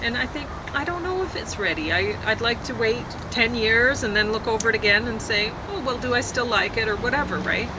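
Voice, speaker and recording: A woman's voice: a little fast, hardly any pauses. A woman chatting casually, hesitant and rambling, often trailing off mid-thought. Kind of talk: casual chat. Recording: constant traffic and outdoor rumble noise.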